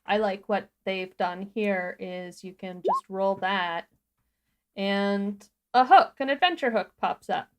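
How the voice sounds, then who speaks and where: A woman's voice: mid-range voice, slow, heavy background noise. A woman co-hosting a livestream tutorial, talking in an enthusiastic and informal way as she explains things. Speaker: livestream co-host. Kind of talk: casual software tutorial chat.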